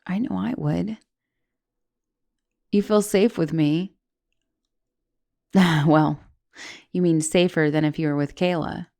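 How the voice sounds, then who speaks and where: A young woman playing a guilty, caring giantess college student in an intimate ASMR roleplay, hesitant and stammering, with soft turns toward whispering. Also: on the low side, fairly even intonation, slow, vocal fry, pauses often. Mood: guilty, incredulous. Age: young adult. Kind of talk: ASMR roleplay, intimate one-sided dialogue.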